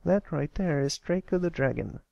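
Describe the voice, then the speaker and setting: Earnest little boy pony, played by a man, gentle and hesitant, lifted voice, storybook cartoon style. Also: a little high, moderate pace, vocal fry, no room sound, lo-fi sound, voice pushed up. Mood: helpful, explaining. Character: shy, earnest young male cartoon pony, comforting a friend.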